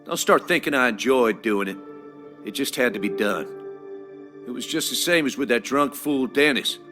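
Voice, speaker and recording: An actor playing a cold, corrupt small-town villain with a folksy, threatening manner in a video game. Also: male voice, mid-range voice, lively intonation, normal speed, a bit bright, faint music behind, close to an everyday voice. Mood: bitter, threatening.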